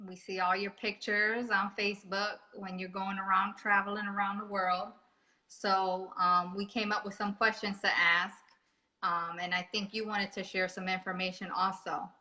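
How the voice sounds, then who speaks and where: A woman's voice: mid-range voice, flat delivery, normal speed, pushed and bright, rough recording. A woman in her forties co-hosting a live interview, talking in a chatty way with many ums. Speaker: co-host. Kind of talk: casual live-stream interview.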